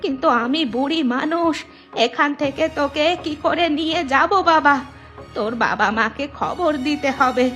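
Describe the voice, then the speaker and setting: A kindly old village granny, voiced by a woman for a cartoon. She speaks slowly in a high, concerned voice. Faint music runs behind her. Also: a bit bright. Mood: alarmed, concerned. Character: kindly old village grandmother gathering leaves. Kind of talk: cartoon voice acting.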